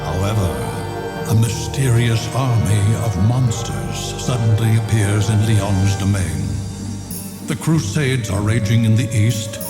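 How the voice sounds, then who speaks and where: Male game narrator, portentous, very slow, echoing, loud music behind. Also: on the low side. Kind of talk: dramatic scripted game narration.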